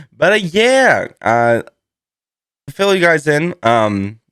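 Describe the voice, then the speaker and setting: A man hosting a solo podcast in a casual, rambling, self-deprecating way, slightly hoarse from a lingering cold. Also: on the high side, wildly swooping pitch, drawn-out words, a bit bright, dry recording, studio quality. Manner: rambling, self-deprecating, laughs through his words. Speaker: podcast host.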